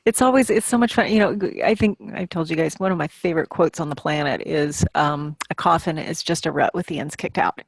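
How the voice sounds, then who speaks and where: A woman's voice: low voice, brisk, creaky, few pauses, breathy edge, dry recording. A woman talking casually as a podcast panelist, with an earnest and emphatic way of making her points. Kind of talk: casual group discussion.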